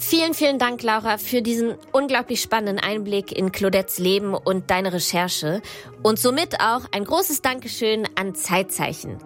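A woman's voice: mid-range voice, normal speed, soft background music. A woman hosting a podcast, moving between scripted narration and addressing guest and listeners. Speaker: podcast host.

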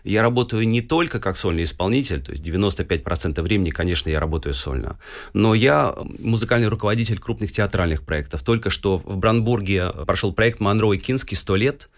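A man's voice: fairly low pitch, expressive, fairly quick. A middle-aged man from Russia answering interview questions as a guest musician, telling his story fluently with some fillers.

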